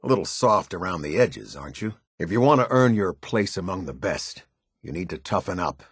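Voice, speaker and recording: A man voicing a stern, disciplined military captain in a video game, with a husky voice and a clipped, commanding, formal delivery. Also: low voice, lively intonation, fairly quick, no echo, studio quality, heavily acted. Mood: stern, disdainful. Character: stern male military captain, disciplined, exacting, loyal to his order.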